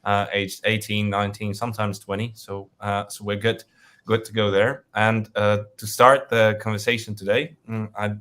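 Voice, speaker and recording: A man's voice: lowish voice, little pitch movement, moderate pace, fairly bright tone. A man from Russia, presenting his company in a webinar with frequent hesitations and fillers.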